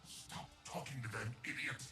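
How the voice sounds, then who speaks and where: An actor voicing a malevolent, bloodthirsty ancient living weapon in a video game, menacing and sardonic, savoring each word. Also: male voice, mid-range voice, steady tone, normal speed, close to an everyday voice. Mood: scornful. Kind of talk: video game character voice lines. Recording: echoing processed voice over game music.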